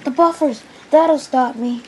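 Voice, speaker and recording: Plucky, excitable little male engine for a children's story, acted by a woman; emphatic delivery, faint noise. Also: fairly high pitch, normal speed, poor audio. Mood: panicked. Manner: loud, exclaiming, emphatic. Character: eager, determined male tank engine, often panicking.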